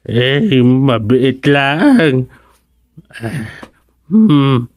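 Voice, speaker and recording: A senile old grandparent from a radio comedy, very slow and halting, with swooping pitch. The speech is full of grunts and hums, befuddled yet mischievous. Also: lively intonation, darkish tone, no room sound, studio quality. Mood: befuddled, stubborn. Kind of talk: comedic Ilocano radio drama acting.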